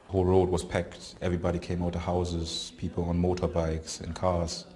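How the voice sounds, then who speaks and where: Very deep, flat-voiced man. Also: slight background noise. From Germany. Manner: halting, searching for words. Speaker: interviewee. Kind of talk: recounting experiences in an interview. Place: prison.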